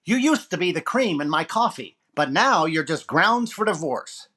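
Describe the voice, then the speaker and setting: A man's voice: fairly high pitch, sing-song, normal speed, pushed and bright, full character voice. Brash wisecracking male radio comic as acted by a man, 1940s style, jive-talking disc jockey with showy, punchy announcer patter. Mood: upbeat, showy. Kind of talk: 1940s radio comedy acting.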